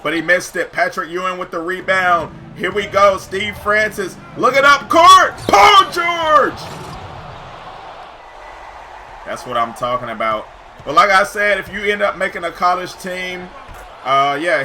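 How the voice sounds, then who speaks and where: A man giving excited, slangy live commentary over basketball video game play, shouting at big plays, his voice getting hoarse. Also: sits high, slight background noise. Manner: slangy, says "yo" often. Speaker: gaming YouTuber.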